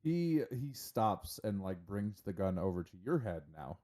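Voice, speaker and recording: A man's voice: fairly low pitch, sing-song, measured pace, mellow. A man running a tabletop game, narrating casually and informally as game master.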